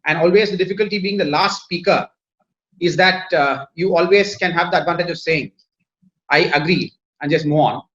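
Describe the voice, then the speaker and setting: Indian man, high voice, muffled call audio. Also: fairly bright tone. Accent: Indian English. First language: Tamil. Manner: formal, measured, with frequent fillers. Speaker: panelist, lawyer. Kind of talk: webinar panel talk. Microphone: video call.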